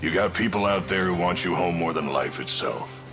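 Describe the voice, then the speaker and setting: An actor playing a gruff, fatherly bandit captain in a video game, with a gravelly voice and folksy, rough speech. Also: low voice, normal speed, music in the background. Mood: frank, easygoing. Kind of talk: scripted video game voice acting.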